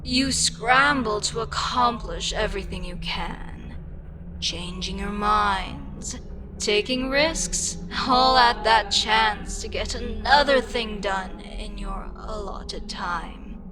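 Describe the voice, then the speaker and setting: A woman voicing an ancient, weary eldritch deity in an intimate ASMR roleplay, speaking deliberately with pauses and breathy, whispery touches. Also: mid-range voice, over music, lightly acted. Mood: wistful, musing. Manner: slow, deliberate, pausing, drifting into breathy whispers. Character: ancient eldritch deity, female, weary, frustrated, wistfully amused by mortals. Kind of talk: ASMR audio roleplay, intimate scripted monologue.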